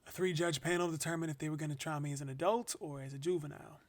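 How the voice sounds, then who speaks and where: A man's voice: on the high side, close to an everyday voice. An actor playing an earnest young man who was wrongfully jailed, speaking conversationally in a first-person radio play testimony. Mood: subdued, weary. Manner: halting, conversational, with small repetitions.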